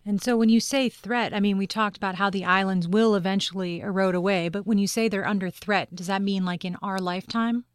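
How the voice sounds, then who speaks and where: Female interviewer, crisp medium-pitched voice, conversational questioning, dry close recording. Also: fairly even intonation, fairly quick, few pauses, no room sound.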